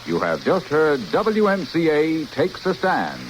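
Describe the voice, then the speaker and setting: A man's voice: fairly high pitch, huge pitch swings, a little slow, poor audio. An American man making a scripted station announcement in a 1960 radio style. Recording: old radio recording with faint hiss.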